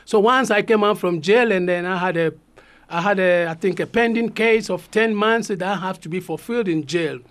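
A middle-aged man with a fairly high voice. He speaks haltingly as a radio interview guest, full of fillers, restarts and repeated words. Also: fairly bright tone. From Ghana. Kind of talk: radio interview, personal story.

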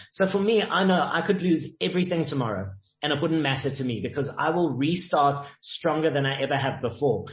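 Male guest, upbeat and sure of himself, pitched a little high, roomy echo. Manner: confident, emphatic, uses sayings and stories. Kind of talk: motivational interview talk. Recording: echoey room.

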